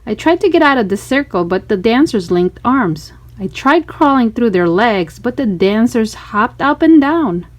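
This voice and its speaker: A woman narrating a children's storybook in an expressive read-aloud style. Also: a little high, moderate pace, hardly any pauses.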